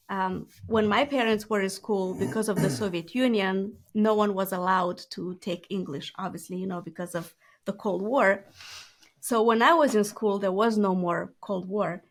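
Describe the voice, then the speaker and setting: A woman in her thirties from Moldova with a Moldovan accent, a Romanian native speaker telling her story earnestly as an interview guest. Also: mid-range voice. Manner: quick, earnest storytelling with light laughs. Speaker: guest entrepreneur. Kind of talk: conversational interview.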